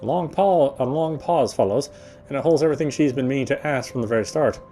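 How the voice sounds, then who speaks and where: A man narrates a visual novel as he plays it, in a mid-range voice. He reads the prose aloud and now and then trips and corrects himself. Game music plays softly behind him. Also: mid-range voice, fast. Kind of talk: narration read aloud from a story.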